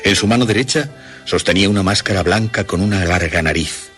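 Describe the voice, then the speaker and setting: Male narrator for suspense audio story: very low voice, animated range, music underneath. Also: fairly quick. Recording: music behind the voice.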